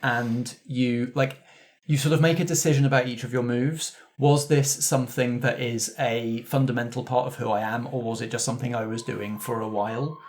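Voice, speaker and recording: A man speaks in a mid-pitched voice, as a game master chatting after a session. His delivery is casual and conversational, explaining rules and story choices to a group. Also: fairly even intonation, normal speed, hardly any pauses. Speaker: game master of an actual-play podcast. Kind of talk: casual post-game discussion.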